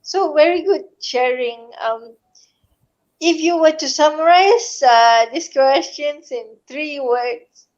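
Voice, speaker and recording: A woman co-hosting a live online chat, asking slow, hesitant questions with many pauses. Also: high-pitched, highs cut off.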